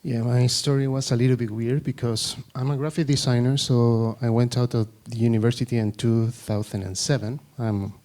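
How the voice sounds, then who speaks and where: Chilean man on a panel, Spanish native, mellow voice, halting and searching for words. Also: mid-range voice, little pitch movement. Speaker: panelist, UI designer. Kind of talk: panel talk to an audience.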